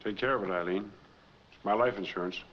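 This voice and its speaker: A man's voice: on the low side, quick pace, natural voice. A man playing an earnest, embittered fugitive in a 1940s film noir, talking in terse, urgent phrases. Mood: determined. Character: wrongly accused man on the run, earnest, embittered. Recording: dull, noisy 1940s film soundtrack.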